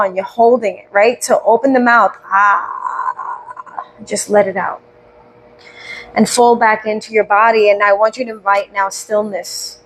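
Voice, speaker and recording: Breathy woman walking you thru a meditation, kinda high voice, slow soothing questions. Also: low-quality recording. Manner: slow, soothing, with gentle questions and audible sighs. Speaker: meditation guide.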